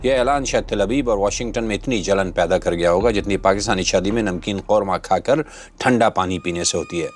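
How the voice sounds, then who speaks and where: A man's voice: lowish voice, brisk. A man hosting a scripted opinion commentary, speaking in a dramatic, rhetorical and sardonic manner. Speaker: host of a commentary channel. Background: background music.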